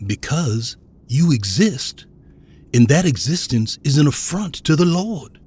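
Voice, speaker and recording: An actor playing a zealous, righteous reverend in an audio drama, composed and solemn. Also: male voice, a little high, big pitch swings, unhurried, a little mellow, slightly rough, heavily acted. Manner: solemn, preacherly.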